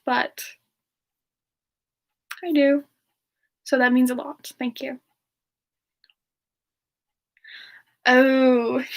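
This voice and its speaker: A woman playing a playful, affectionate and coy female demon siren, speaking softly and hesitantly in a semi-ASMR question-and-answer style. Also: high-pitched. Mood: warm, amused. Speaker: host answering audience questions in character.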